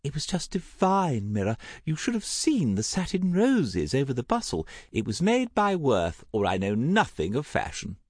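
A man voicing a lively older aristocratic woman who gossips, in a gushing, theatrical and emphatic manner for an audiobook. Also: mid-range voice, normal speed, few pauses, no room sound, studio quality, voice pushed up. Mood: gushing delight. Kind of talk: audiobook character acting.

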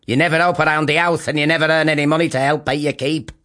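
A man doing a shrill scolding mother. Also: fairly even intonation, quick pace, sharp tone.

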